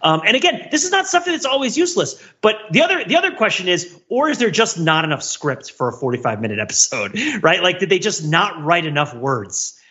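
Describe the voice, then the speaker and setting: A man's voice: high-pitched, big pitch swings, brisk, hardly any pauses, sharp tone, breathy edge, muffled recording. A man giving casual analytical commentary on television as a podcast panelist, emphatic and digressive, acting out his examples.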